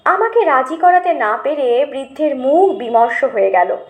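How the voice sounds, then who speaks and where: A woman reading a literary memoir as an audiobook narrator, in an expressive storytelling manner. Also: high voice, moderate pace. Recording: roomy, echoing voice recording.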